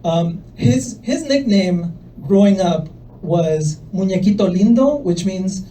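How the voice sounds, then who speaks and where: A young man from El Salvador doing a stand-up set in a comedy club, with a soft voice and a hesitant, deadpan delivery full of fillers. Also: sits high, takes it slowly, slight background noise. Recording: voice from far off the mic.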